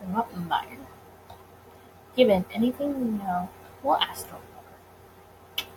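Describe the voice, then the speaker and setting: A woman reading a visual novel aloud in an expressive narrating style, occasionally tripping over words. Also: medium pitch, slow pace, slight background noise, poor audio.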